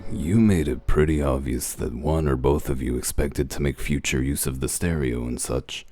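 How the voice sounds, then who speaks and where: A man playing a gruff, dry wolf detective who explains things in a deliberate, self-assured way in a comic dub. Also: rumbling low, dark tone, no echo. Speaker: detective character and first-person narrator. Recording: music under the voice. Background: background music.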